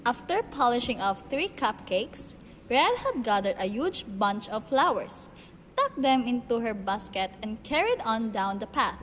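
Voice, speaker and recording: A woman's voice: fairly high pitch, sing-song, a little slow, a bit bright. A woman narrating a fairy tale as a storyteller in a scripted radio play. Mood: expressive. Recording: long reverb, faint noise.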